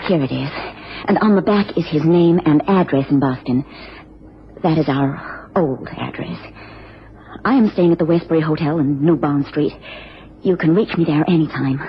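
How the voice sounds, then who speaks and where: A woman playing an anxious, genteel American wife in a radio drama, speaking formally and earnestly with careful phrasing. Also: low voice. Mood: pleading. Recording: dull, noisy old radio recording.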